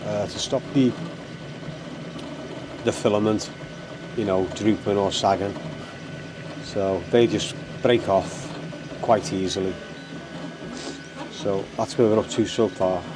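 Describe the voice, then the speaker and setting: Bunged-up man with a low, flat voice. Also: noisy background. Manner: rambling, full of ums and uhs, keeps saying guys. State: stuffy, bunged up from a cold. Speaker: hobby channel host. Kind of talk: casual how-to narration.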